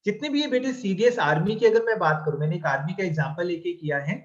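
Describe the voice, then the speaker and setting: A man's voice: high voice, quick pace, lo-fi sound. A male exam-coaching teacher lecturing a class, mixing Hindi and English and fondly calling his students "beta". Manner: checks in with the class often.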